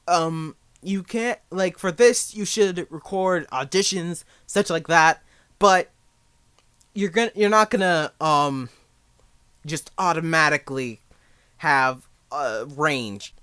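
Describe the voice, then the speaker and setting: A man's voice: a little high, slow pace, pushed and bright, clean tone, dry recording, studio quality. A young man giving a casual spoken tutorial in a rambling, jokey, self-deprecating way. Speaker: amateur voice actor giving beginner advice.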